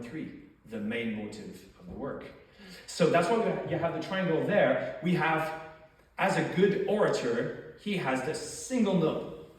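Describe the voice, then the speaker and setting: A man with a thick French accent delivers an academic lecture, walking his listeners through each point and pausing on rhetorical questions. His voice rings through a very echoey hall, picked up from some distance away. His pitch sits at an ordinary middle level for a man. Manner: explanatory, animated, asks rhetorical questions. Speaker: doctoral lecturer. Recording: echoey hall, far from mic.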